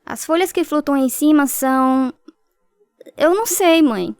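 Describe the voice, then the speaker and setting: Scared sweet little brazilian girl, high pitched, hesitant, radio play acting. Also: animated, unhurried, darkish tone, dry recording, clean studio sound. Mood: uneasy, hesitant. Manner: hesitant, fearful. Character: anxious, tender young girl, about ten to thirteen.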